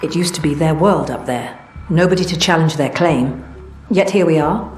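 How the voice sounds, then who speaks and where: A woman playing a composed, analytical intelligence officer in a sci-fi game, giving measured, matter-of-fact briefings. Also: sits low, a little mellow, echoey room, soft background music, lightly acted. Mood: quietly resolute. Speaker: mission-giving game character. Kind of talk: scripted game dialogue.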